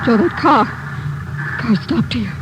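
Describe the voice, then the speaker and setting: A woman's voice: a little high, animated, slow. An actress playing an anxious young woman pleading with a detective, in 1940s radio drama style. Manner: pleading, tense. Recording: noisy old radio broadcast.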